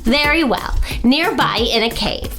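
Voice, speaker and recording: A woman's voice: a little high, expressive, a little slow, over music. A woman voicing a scheming, gleeful old witch who eats children, menacing and commanding, in a children's storybook.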